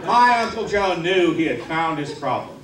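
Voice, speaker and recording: A man telling a comic tall tale on stage in a theatrical, mock-serious way with dramatic pauses. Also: fairly high pitch, sing-song, a crowd in the background. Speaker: humorous contest speaker. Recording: roomy hall, distant mic, faint noise.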